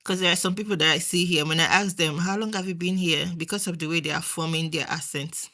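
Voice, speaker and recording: Nigerian woman, low bright voice. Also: steady tone, fast talker. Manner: rambling, chats with viewers, trails off. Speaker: livestream host. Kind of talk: casual livestream chat.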